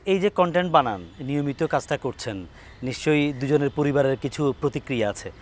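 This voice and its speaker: A man's voice: mid-range voice, animated, brisk. A man working as an interviewer, putting questions to his guests.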